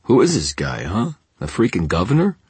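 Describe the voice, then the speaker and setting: Guy doing a hot-headed mob goon for an audiobook, harsh and husky, sneery, pushy, dry close sound. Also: fairly low pitch, wildly swooping pitch, moderate pace, no echo, pitched above the performer's own voice, heavily acted. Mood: scornful challenge. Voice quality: harsh, not nasal. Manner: sneering, coarse, belligerent. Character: brutish sneering male mobster thug, hot-headed and defiant.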